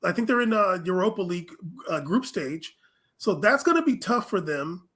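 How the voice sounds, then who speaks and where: A man with a clearly high, bright voice. He speaks as a podcast guest in loose, casual sports talk, with a forward, sharp tone. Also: expressive, normal speed.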